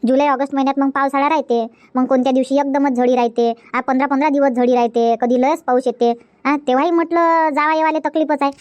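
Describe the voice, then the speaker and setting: Woman doing a young cartoon wife who nags nonstop, high fast voice, dry close sound. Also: fairly even intonation, darkish tone, dry recording, highs cut off, natural voice. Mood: pleading. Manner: repetitive, nagging, talks in a rush. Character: young newlywed wife, chatty and complaining. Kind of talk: Marathi comedy cartoon dub.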